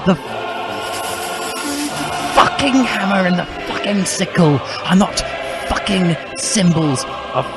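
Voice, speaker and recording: Casting: male reader as indignant teenage boy zealot, high strained pitch, drawn-out emphatic words, comic reading over music. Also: animated. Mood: outraged, swearing. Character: self-righteous ranting teenage boy communist zealot.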